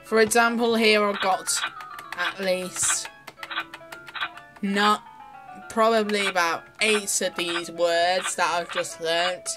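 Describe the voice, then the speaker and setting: A young adult woman demonstrating a toy off the cuff, explaining as she goes in a halting way. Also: medium pitch, fairly even intonation, music in the background, low-quality recording. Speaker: toy reviewer.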